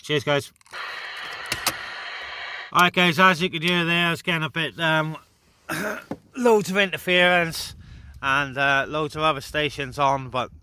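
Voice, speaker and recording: A man's voice: fairly high pitch, a little slow, pauses often, sharp tone, a little hiss or hum. A man chatting casually and informally as a vlogger and CB radio operator. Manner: matey, informal. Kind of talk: casual radio chat and vlog talk. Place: outdoors on a mountain top.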